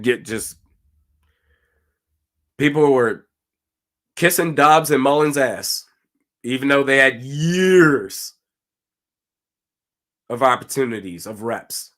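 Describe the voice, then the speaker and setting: A man with a slightly raspy, hoarse voice, giving casual live commentary on a football game and reading out viewer comments. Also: on the high side, a bit bright. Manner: casual, talks to chat, reads comments aloud. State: hoarse from screaming. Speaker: watch party streamer.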